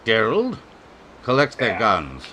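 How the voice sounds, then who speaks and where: A man's voice: on the low side, wildly swooping pitch, takes it slowly, sharp tone, slight background noise, exaggerated voice. A man playing a stern major who leads a western posse in a radio drama, giving clipped, authoritative orders. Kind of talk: old-time radio western acting.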